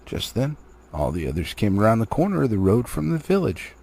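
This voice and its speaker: Male storybook narrator, theatrical delivery with a husky edge and very animated intonation. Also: on the low side, fairly quick. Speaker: amateur storybook reader. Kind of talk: expressive audiobook reading of a fantasy novel.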